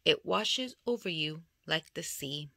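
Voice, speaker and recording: Bright-voiced woman reading a picture book. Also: low-pitched, expressive, unhurried, creaky, no echo, clean studio sound. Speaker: storybook reader. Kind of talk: expressive children's picture-book read-aloud.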